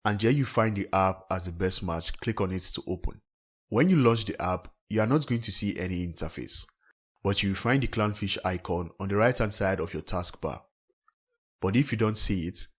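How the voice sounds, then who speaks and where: Deep husky guy doing a step by step tech tutorial voiceover. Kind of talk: scripted step-by-step software tutorial narration.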